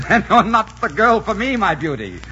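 A cocky, roguish pirate lover with a bright, forceful voice. His delivery is theatrical and teasing, swinging widely in pitch. It sounds like a dull 1940s radio broadcast. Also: big pitch swings, normal speed, a little hiss or hum, heavily acted. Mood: bold farewell. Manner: theatrical, flirtatious. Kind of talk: 1940s radio romance acting. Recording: lo-fi 1940s radio, music behind.

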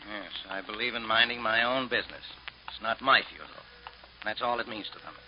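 An actor playing a crusading newspaper editor, speaking earnestly in 1940s radio acting style on an old radio broadcast. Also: male voice, lowish voice, brisk, muffled recording, slight background noise, lightly acted.

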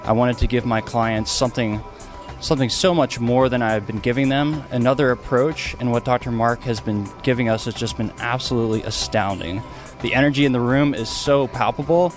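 A man's voice: mid-range voice, fairly quick, faint music behind. Enthusiastic man health coach. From Maine. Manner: enthusiastic, salesy. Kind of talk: testimonial.

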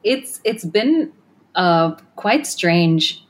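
A woman's voice: fairly low pitch, sing-song, extremely slow. A woman who grew up in Kenya and Australia, founder of a tech design firm, answering interview questions thoughtfully with many fillers and restarts.

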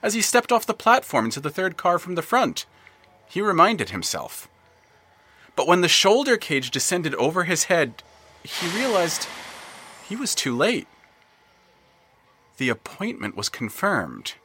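A man's voice: high-pitched, very animated, measured pace, long pauses, bright, forceful tone, breathy edge. A man narrating an audio drama in a wry storytelling manner.

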